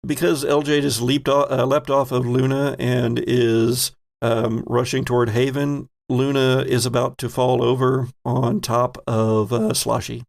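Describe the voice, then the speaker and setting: Creaky-voiced man running a tabletop game. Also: mid-range voice, little pitch movement, a little slow, hardly any pauses. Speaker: game master. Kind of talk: casual improvised tabletop game narration.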